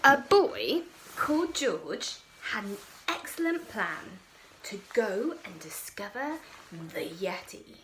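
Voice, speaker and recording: A woman reads a picture book aloud with a highly animated, expressive voice. She takes her time, speaking very slowly and deliberately. There is some faint noise behind her. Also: poor audio. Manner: expressive, slow, deliberate delivery. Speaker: children's storyteller.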